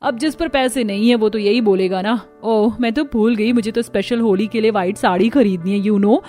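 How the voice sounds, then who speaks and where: A woman's voice: mid-range voice, fairly quick, warm tone, faint music behind, natural voice. A woman voicing a spoiled, newly married rich daughter-in-law in a cartoon story, boastful, scornful and snappy. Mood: smug, boastful. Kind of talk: Hindi cartoon story dialogue.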